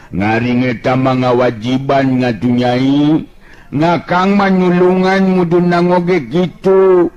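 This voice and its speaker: A man's voice: medium pitch, extremely slow, darkish tone, dull audio. A man voicing a good-natured, bashful, joking male sidekick in a storytelling, with nasal, garbled speech and a chuckling drawl. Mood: bashful, pleased.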